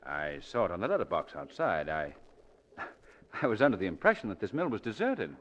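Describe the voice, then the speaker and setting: An actor in a 1940s radio drama playing a calm, commanding gentleman sleuth. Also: fairly low pitch, a little fast. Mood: polite, casual. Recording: dull old 1940s radio recording.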